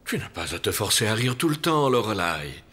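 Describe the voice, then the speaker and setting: Kind, stammering cartoon dog doctor, animated. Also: male voice, fairly low pitch, fairly quick, husky, full character voice. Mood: gentle. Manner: hesitant, stammering, earnest. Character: kind, awkward adult male dog doctor. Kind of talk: French-dubbed children's cartoon acting. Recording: music behind the voice.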